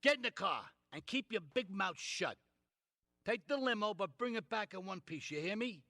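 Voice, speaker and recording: An actor playing an older, expansive and domineering Mafia patriarch in a game cutscene, with an effusive, bossy manner. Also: male voice, high-pitched, lively intonation, normal speed, pushed and bright. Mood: irritated, bossy. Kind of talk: video game cutscene acting.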